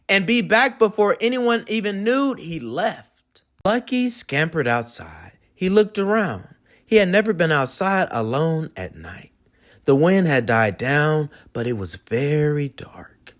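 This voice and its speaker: Expressive man reading a children's story, very lively pitch. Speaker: read-aloud host and storyteller. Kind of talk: animated children's storybook read-aloud.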